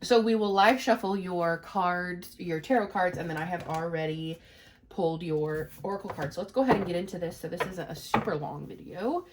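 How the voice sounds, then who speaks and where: A woman with a somewhat low voice, audibly congested and stuffy from a cold. She gives a relaxed tarot reading straight to her viewers, rambling along and padding her sentences with fillers as she works through each card. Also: moderate pace, background noise. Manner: chatty, rambling, many "you know" and "um" fillers. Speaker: tarot reader.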